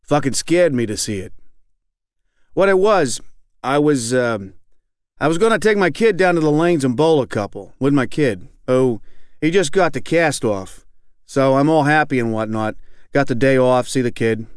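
A man's voice: mid-range voice, expressive, moderate pace, clear, resonant tone, dry recording, clean studio sound, natural voice. A man reading aloud as an angry, profane middle-aged man in recovery, rambling and repeating himself. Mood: aggrieved, rambling. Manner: rambling, profane, keeps asking "you know what I'm saying". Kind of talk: reading aloud a fictional monologue.

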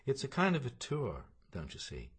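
Mild, polite middle-aged gent, read by a male narrator, lifted a little in pitch, husky. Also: huge pitch swings, moderate pace, exaggerated voice. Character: mild, thoughtful middle-aged man, courteous and unhurried.

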